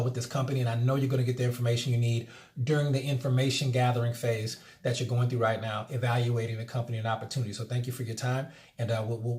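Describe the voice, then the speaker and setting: A man teaching network-marketing sales, talking casually and acting out phone conversations with energy. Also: mid-range voice. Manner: energetic, acts out phone scripts, many restarts. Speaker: MLM sales trainer. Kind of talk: casual instructional talk.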